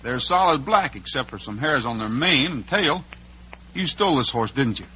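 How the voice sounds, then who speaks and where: An actor playing a steady, good-natured cowboy rancher hero, folksy and plain-spoken, in 1940s radio western style. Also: male voice, medium pitch, moderate pace, a little hiss or hum, natural voice. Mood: calm, probing. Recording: muffled old radio recording.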